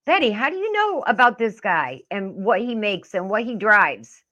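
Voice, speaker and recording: A woman's voice: mid-range voice, sing-song, normal speed, bright, forceful tone, highs cut off. A middle-aged woman giving casual, profane reaction commentary in a blunt, indignant, scolding manner. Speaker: reaction video host.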